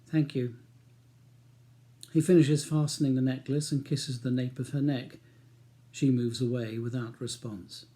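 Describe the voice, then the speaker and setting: A man's voice: mid-range voice, little pitch movement, moderate pace, warm tone. A man with a British accent reading his own novel as a scripted audiobook narration.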